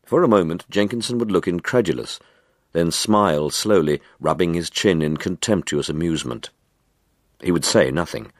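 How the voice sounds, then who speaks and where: A man with a deep voice narrates a literary tale in a measured way. His pitch moves expressively through the sentences, and the recording is close and dry. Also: dry recording. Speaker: audiobook narrator. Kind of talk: scripted literary narration of a dark short story.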